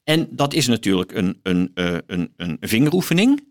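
A middle-aged man explaining books in conversation as an interview guest, thoughtfully and haltingly, repeating words as he searches for phrasing. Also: fairly low pitch, very animated. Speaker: guest, professor.

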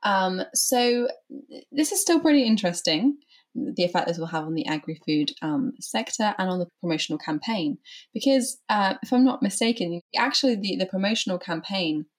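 A woman co-hosting a weekly news podcast, lightly teasing and quick to laugh. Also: mid-range voice, lively intonation. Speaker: podcast co-host.

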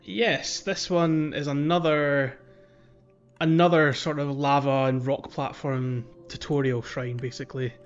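A man co-hosting a podcast, explaining a video game in a casual, halting way. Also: on the high side, steady tone, clean tone, dull audio, faint music behind. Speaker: podcast co-host.

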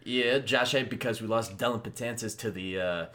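A man's voice: fairly low pitch, moderate pace, sharp tone. A man in his mid-twenties co-hosting a sports podcast, chatting in an upbeat, casual way. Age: 20s. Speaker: podcast co-host.

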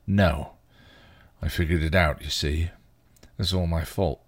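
A man voicing a bitter, guilt-ridden male vampire, rough and full of crude slang. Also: sits low, fairly even intonation, a little slow, no echo. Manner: snarling, rough, clipped slang. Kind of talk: fan fiction reading.

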